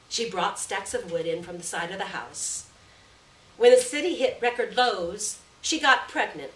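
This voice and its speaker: A woman reading a literary short story aloud at a live event, with measured, deliberate phrasing and stressed words. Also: medium pitch. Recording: distant roomy live recording, faint noise.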